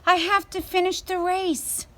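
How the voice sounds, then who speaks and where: Storybook hare, male, cocky and breezy, slow delivery, played by a female reader. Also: extremely high pitch, little pitch movement, sharp tone, voice pushed up, exaggerated voice. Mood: alarmed. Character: boastful, overconfident male hare in a children's storybook.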